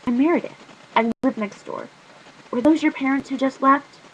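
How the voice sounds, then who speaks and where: A woman voicing a gentle, friendly teenage girl who lives next door, in a storybook reading. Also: high voice, normal speed, lo-fi sound, a little hiss or hum, voice pushed up.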